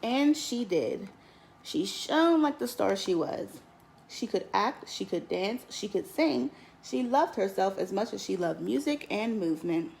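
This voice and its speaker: A woman teacher reads a children's picture book aloud, lifting her voice on key words in a lilting, expressive way. There is a slight gravelly fry in her voice. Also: medium pitch, measured pace, slight background noise, poor audio. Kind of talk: storybook read-aloud.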